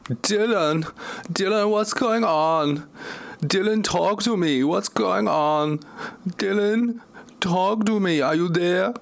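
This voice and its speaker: A man's voice: high-pitched, takes it slowly, creaky, exaggerated voice. A man playing an anxious, fussing woman in a parody audio play.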